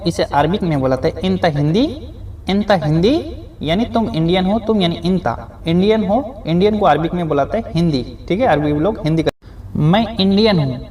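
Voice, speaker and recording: A man's voice: fairly high pitch, a little mellow. A man teaching spoken phrases in a beginner lesson, saying each phrase, repeating it and explaining it word by word. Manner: addresses viewers as friends, frequent "okay". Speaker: Arabic teacher.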